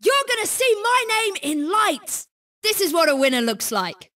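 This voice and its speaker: A woman's voice: extremely high pitch, sing-song, normal speed, a bit bright, far from the mic, full character voice. A British woman voicing a cheeky, confident young female kart racer in a video game, sassy and exclamatory. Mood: cocky, teasing. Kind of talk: video game character barks.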